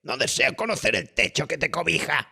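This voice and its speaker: A man voicing a bitter, defiant old drunken wretch in a horror audiobook, with a raspy, strained voice and Castilian Spanish accent. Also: fairly high pitch, expressive, fairly quick, voice pushed up, exaggerated voice. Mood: scornful, angry. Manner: dramatic, erupting from hisses into shouts. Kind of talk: dramatised audiobook horror tale.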